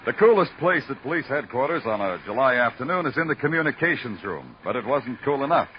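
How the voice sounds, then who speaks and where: A man's voice: mid-range voice, sing-song, a little hiss or hum, close to an everyday voice. An American man playing a hard-boiled, world-weary New York detective, narrating in a brooding, poetic 1950s radio noir style. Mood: grim narration. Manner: brooding, poetic, deliberate delivery. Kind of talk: 1940s-50s radio noir acting. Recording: dull old radio recording with music.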